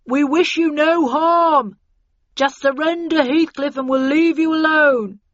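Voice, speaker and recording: A woman voicing a menacing militant attacker who calls loudly and slowly for surrender, as in an audiobook. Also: high-pitched, no room sound, dull audio, pitched above the performer's own voice. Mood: threatening. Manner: loud, drawn-out calling.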